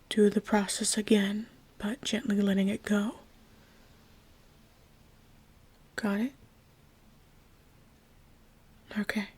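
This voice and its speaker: A woman playing a gentle, patient trapped god in an ASMR roleplay, speaking in a breathy, often whispered voice with careful reassurance. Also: mid-range voice. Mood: soothing, guiding. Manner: slow, careful, reassuring explaining. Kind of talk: ASMR roleplay, scripted intimate monologue.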